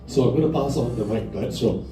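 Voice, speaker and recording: A man's voice: medium pitch, a little fast, mellow. A man moderating a conference panel, teasing the speakers and joking with the crowd. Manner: playful, teasing, switches between English and Mandarin. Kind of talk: lively event hosting, joking banter. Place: conference hall on stage. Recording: echoey hall from far off with music.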